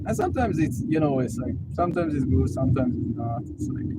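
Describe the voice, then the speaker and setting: Young dev guy in the passenger seat, rambly, says like a lot, car noise super loud. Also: mid-range voice, quick pace, far from the mic. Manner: loose and rambling, filler-heavy with lots of "like". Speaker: passenger, software developer. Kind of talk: casual chat. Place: inside a moving car.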